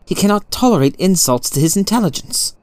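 Guy playing a brainy detective in an audiobook, formal and probing, crisp voice, really dry sound. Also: sits high, animated, a little fast, a little mellow, no echo, pitched above the performer's own voice. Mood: murmured, wary. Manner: formal, incisive. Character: sharp, probing, thoughtful male detective.

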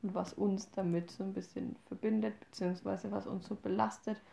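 Female podcast co-host, mid-twenties, from Upper Franconia, gravelly fry, casual delivery, roomy echo. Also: mid-range voice, fairly even intonation, fairly quick, mellow, slight background noise. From Upper Franconia, Germany. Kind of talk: casual conversational podcast talk. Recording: roomy, echoey sound.

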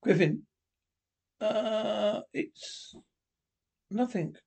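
A husky-voiced reader playing a cemetery caretaker, who talks in a halting, hesitant way. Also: mid-range voice, a little mellow, full character voice. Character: caretaker of an old cemetery, uneasy.

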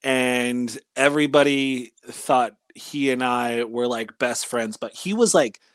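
A man in his thirties with a Californian accent and a mid-pitched voice, recorded very dry. He reminisces casually as an interview guest, in a halting, slangy way with some swearing. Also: clean tone, no echo. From California, United States. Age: 30s. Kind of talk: casual chat, personal recollection.

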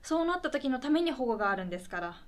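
A woman's voice: high-pitched, sing-song, rapid-fire. A woman acting in a conversational radio drama as a polite welfare caseworker, patient, reassuring and a little tentative.

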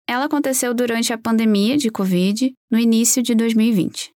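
A woman's voice: on the high side, steady tone, fairly quick, a little mellow, no echo, clean studio sound. A Brazilian woman hosting a true crime podcast, telling the story in a conversational way.

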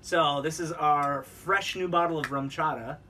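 A woman's voice: low voice, steady tone, measured pace, bright, forceful tone. A woman recovering from bronchitis, co-hosting with casual, joking banter and the odd swear word. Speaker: co-host of a cocktail show. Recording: distant mic with background noise.

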